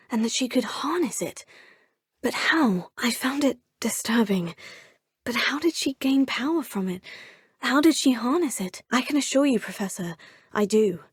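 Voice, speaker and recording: A husky, earnest young witch. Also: a little high, no room sound, clean studio sound. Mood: murmuring. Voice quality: husky, often whispering to herself. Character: earnest young female wizard student hero. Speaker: player character. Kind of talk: video game voice acting.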